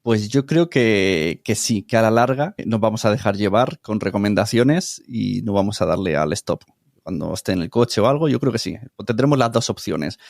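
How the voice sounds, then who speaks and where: A man's voice: fairly low pitch, expressive, normal speed, vocal fry, a little mellow, dry recording, studio quality. A middle-aged man with an accent from Spain, talking casually and informally as an interview guest, explaining with examples. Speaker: podcast producer, interview guest.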